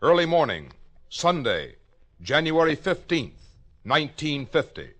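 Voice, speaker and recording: A man with an American accent announcing facts from a script in crisp, clipped 1950s radio style. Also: fairly high pitch, sing-song, unhurried, fairly bright tone. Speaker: second announcer.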